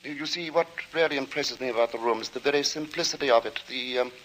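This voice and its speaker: Actor playing brooding, formal gentleman lodger. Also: medium pitch, quick pace, a little hiss or hum, close to an everyday voice, low-quality recording. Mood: formal, curt. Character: mysterious, weary, fastidious gentleman lodger, secretive and pious. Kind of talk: old-time suspense radio drama acting. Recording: old radio broadcast with music.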